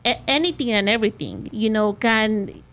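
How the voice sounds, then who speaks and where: A woman's voice: a little high, moderate pace, a bit bright. A woman with a Spanish accent, a realtor talking casually on a podcast with lots of "you know" and "like" fillers.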